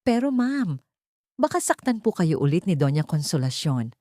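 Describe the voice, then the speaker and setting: A woman's voice: lowish voice, big pitch swings, normal speed, mellow, no room sound, clean studio sound. A woman voicing a loyal, respectful, concerned female secretary in an audio story. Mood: worried. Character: loyal, worried female secretary, deferential to her boss.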